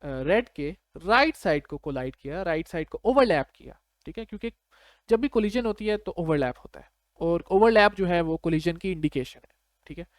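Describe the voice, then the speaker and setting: A man's voice: high voice, lively intonation, normal speed, no echo. A man with a Pakistani accent giving an informal step-by-step tutorial, conversational and often checking that the listener follows. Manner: conversational, checks understanding with short tag questions. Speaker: programming tutor.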